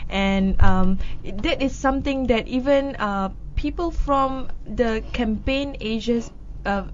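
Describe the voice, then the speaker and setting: A woman's voice: on the high side, slow, muffled recording, slight background noise. Chatty woman co-hosting a news talk show. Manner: chatty, laughs often between news items. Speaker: co-host of a news discussion show. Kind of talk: casual news discussion.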